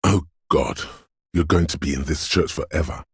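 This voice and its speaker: A man voicing an eerie, brooding inner voice of intuition and dread in a game, narrating in the second person with a deliberate, portentous, theatrical delivery. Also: very deep voice, slightly rough, dry recording, studio quality, exaggerated voice. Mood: ominous, mystical.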